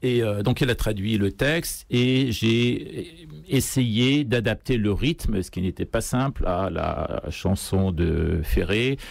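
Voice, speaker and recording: A man from Iran speaking hesitantly, with many pauses, as an unscripted guest in a radio interview. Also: on the low side, vocal fry, no room sound. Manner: hesitant, halting delivery full of pauses. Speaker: guest singer. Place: radio studio. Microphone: close studio microphone.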